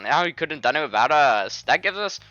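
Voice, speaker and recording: A man's voice: high voice, wildly swooping pitch, unhurried, sharp tone, no echo, dull audio, faint music behind, voice pushed up, heavily acted. A man voicing a teasing, mocking schoolgirl friend in an exaggerated way for a video game. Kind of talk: video game fan voice-over.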